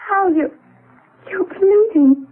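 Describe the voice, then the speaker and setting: An actress playing a frightened, pleading wife in 1970s radio drama style, on a thin, noisy old broadcast recording. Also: female voice, very high-pitched, slow, narrow, tinny audio. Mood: shocked. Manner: anxious, pleading.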